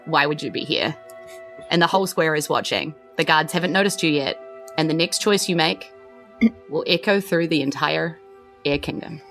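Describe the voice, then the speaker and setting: A woman's voice: deep voice, normal speed, over music. A woman hosting and narrating a tabletop role-playing game. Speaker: game master and host of a tabletop RPG podcast. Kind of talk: narrating a tabletop game, part recap, part casual chat.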